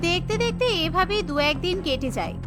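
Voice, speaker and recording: Female narrator for cartoon storytelling, high voice, scripted read over clear music. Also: expressive, brisk.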